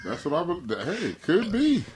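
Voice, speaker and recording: A man's voice: high-pitched, wildly swooping pitch, fast talker, a crowd in the background. A man in a crude casual chat who asks naive questions and makes joking protests.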